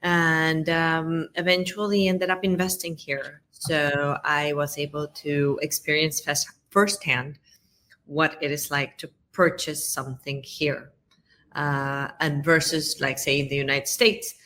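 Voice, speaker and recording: A woman from Colombia chatting as a podcast guest, friendly and loose, with plenty of ums and uhs. Her voice is very low for a woman yet has a bright, sharp edge. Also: level tone, measured pace, a little hiss or hum. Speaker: real estate agent, interview guest. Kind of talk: casual interview chat.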